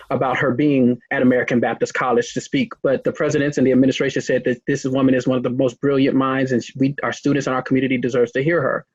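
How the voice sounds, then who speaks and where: A man's voice: medium pitch, breathy edge, no echo. A man interviewing a guest, talking in a rambling, hesitant way with many restarts. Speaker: interviewer, host. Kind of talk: casual interview. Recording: muffled lo-fi recording.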